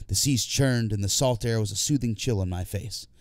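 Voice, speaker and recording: A man's voice: fairly low pitch, normal speed, no room sound, clean studio sound. A man reading a scripted first-person literary story as an audiobook narrator.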